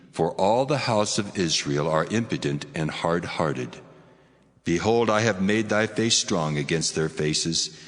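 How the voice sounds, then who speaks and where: Deep God voice, cavernous reverb. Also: male voice. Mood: stern. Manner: grave, deliberate, proclaiming. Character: God, solemn commanding male divine voice. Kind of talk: dramatized scripture reading. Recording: long cavernous reverb, music underneath.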